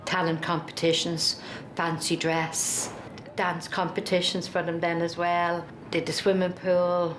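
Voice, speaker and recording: Deep-voiced Dublin woman in an echoey room. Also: little pitch movement, unhurried. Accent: Dublin Irish. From Dublin, Ireland. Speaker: documentary interviewee, local resident. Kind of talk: conversational recollection. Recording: echoey room with clear background noise.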